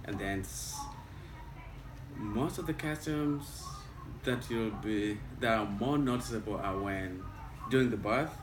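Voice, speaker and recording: Kenyan man with a low voice, explaining patiently. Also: takes it slowly. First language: Luo. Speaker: interviewee. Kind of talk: casual interview answers. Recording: echoey room, mic at a distance, noisy.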